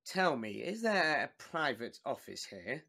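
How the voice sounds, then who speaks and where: Pompous, excitable mock tsar. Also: male voice, high-pitched, moderate pace, full character voice. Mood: indignant, bossy. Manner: imperious, excitable. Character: pompous, blustering man posing as a tsar. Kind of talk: dubbed film comedy acting.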